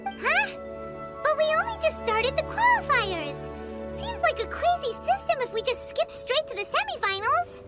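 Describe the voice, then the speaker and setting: Game sidekick: tiny excitable fairy, very high and squeaky, wildly swooping. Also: female voice, normal speed. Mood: puzzled. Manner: squeaky, bouncy, refers to herself by name. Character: tiny floating female fairy companion, excitable. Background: game music.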